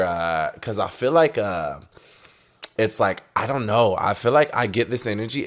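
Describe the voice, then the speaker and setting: A man talking casually as a podcast guest, rambling and repeating himself with slangy wording and frequent swearing. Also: on the low side. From Salt Lake City area. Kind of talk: casual conversation.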